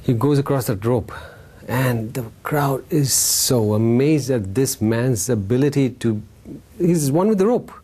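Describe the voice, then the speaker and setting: Male spiritual teacher, husky and relaxed in tone, informal storyteller who plays with the tale and checks that listeners follow. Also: mid-range voice, unhurried. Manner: informal, playful, acting out dialogue, checking in with listeners. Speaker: Buddhist teacher giving a talk. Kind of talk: animated storytelling Dharma talk to a live group.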